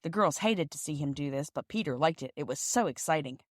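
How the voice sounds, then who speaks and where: A woman narrates a classic children's storybook in a fairly low voice. The recording is dry and close, with very little room sound. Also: animated, a little fast, no room sound. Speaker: audiobook reader and podcast host.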